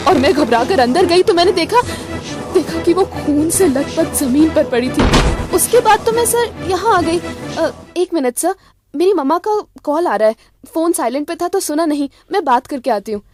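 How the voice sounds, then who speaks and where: A woman with an Indian accent playing an anxious young female witness who hesitates and repeats words in a radio drama. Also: extremely high pitch. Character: frightened young female witness, a call centre worker. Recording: music under the voice.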